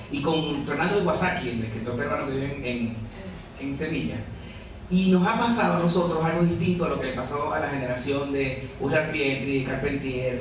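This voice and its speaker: A man in his forties with a Venezuelan accent talks about his writing to a café audience, chatty and quick to laugh at himself. He is picked up from a distance in a very echoey room. A crowd murmurs behind him. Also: medium pitch. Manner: chatty, animated, laughs often, self-mocking. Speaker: novelist presenting his book. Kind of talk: conversational talk to an audience.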